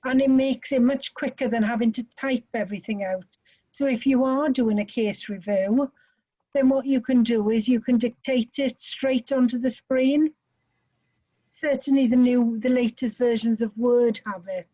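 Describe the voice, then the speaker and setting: Welsh woman, webinar presenter, higher mellow voice, dry dull call audio, practical chat. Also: steady tone, normal speed, no echo. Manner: chatty, practical, gives tips. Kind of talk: online teaching chat. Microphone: video call. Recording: muffled video call audio.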